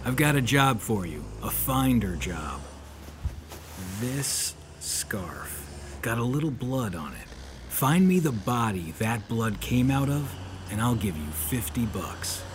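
An actor playing a tough, laconic but kindly 1930s detective in an audio drama, plainspoken, dry and slangy. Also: male voice, medium pitch, big pitch swings, takes it slowly, background noise. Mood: gruff, kind. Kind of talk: hardboiled audio drama acting and narration.

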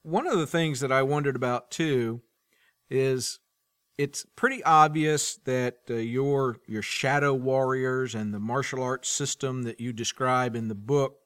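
A man interviewing a guest, putting his questions in a measured, deliberate way. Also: mid-range voice, a bit bright, clean tone, no room sound. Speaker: podcast interviewer.

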